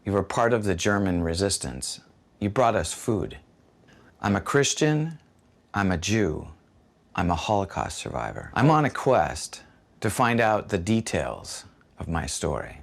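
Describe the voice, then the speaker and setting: A man's voice: low-pitched, very animated, a little slow, vocal fry. A man speaking in a reflective, searching way in a documentary interview.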